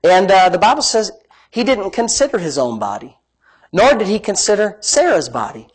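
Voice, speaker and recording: Male preacher, high-pitched big voice, folksy and emphatic teaching delivery, repetitive for emphasis. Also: big pitch swings, normal speed, husky, poor audio. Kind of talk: sermon, conversational teaching. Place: live-streamed church service, amplified.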